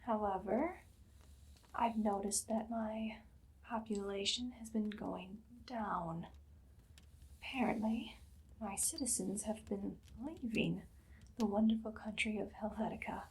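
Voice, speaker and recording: A woman voicing an imperious, menacing evil queen in a whispered ASMR roleplay, speaking softly and deliberately with a condescending, commanding air. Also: mid-range voice, steady tone, a little hiss or hum, natural voice. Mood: smug, scheming. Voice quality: breathy, soft, much of it whispered.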